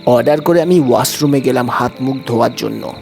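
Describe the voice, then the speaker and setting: A man's voice: mid-range voice, brisk, creaky, darkish tone, natural voice. Man acting frightened horror-story diner, music behind. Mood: suspenseful narration. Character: frightened male diner recounting a haunted hotel. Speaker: story narrator and lead actor. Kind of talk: dramatised horror audio story. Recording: music bed under the voice.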